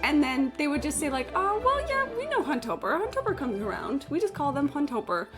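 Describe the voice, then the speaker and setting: A woman with a fairly high voice livestreams a game in a casual, chatty way. She rambles through anecdotes bluntly and swears freely, leaning on "like" as she goes. Game music plays faintly behind her. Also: a little fast. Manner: blunt, sweary, animated storyteller, frequent "like". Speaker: live streamer. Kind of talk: casual gaming stream chat, rambling anecdotes. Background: video game music.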